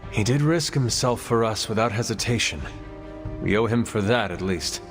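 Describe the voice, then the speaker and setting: An actor playing a solemn ancient warrior hero in a video game, speaking in a measured, formal, earnest way. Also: male voice, fairly low pitch, lively intonation, faint music behind. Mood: grave. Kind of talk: video game dialogue acting.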